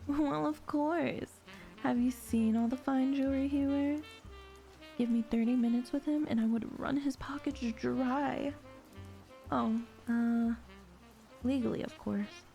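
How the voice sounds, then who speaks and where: A woman's voice: high-pitched, fairly even intonation, a little slow, creaky, mellow. An actress playing a playful, flirtatious female con artist in an audio drama, sly and teasing, over background music.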